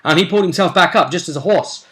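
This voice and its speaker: A man's voice: high voice, quick pace, bright, forceful tone. A man hosting a tabletop role-play vidcast as game master, casually recapping a session with rambling, chatty asides.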